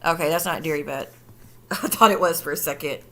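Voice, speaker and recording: Bright-voiced sarcastic woman streamer. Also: deep voice, fairly quick. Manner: sarcastic, profane, incredulous. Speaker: commentary streamer. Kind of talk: reaction commentary stream.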